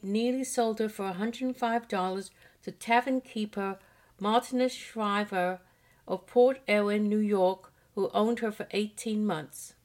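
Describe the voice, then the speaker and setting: A woman reading out a history lesson in a steady, measured voice. Her pitch sits in an ordinary middle range, and she keeps an even, deliberate pace. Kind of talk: read-aloud history lesson.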